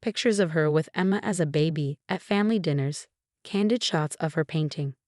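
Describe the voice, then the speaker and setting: Female story narrator, lowish pitch, measured and earnest delivery, very dry close sound. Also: a little mellow, no echo. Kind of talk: scripted first-person story narration.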